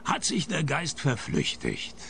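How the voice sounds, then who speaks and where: An actor playing a sardonic, hard-up male private detective in a radio play, wisecracking in a deadpan way. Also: a little high, a little slow, vocal fry, a little hiss or hum, heavily acted. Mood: dry, teasing. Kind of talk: radio play dialogue and first-person narration.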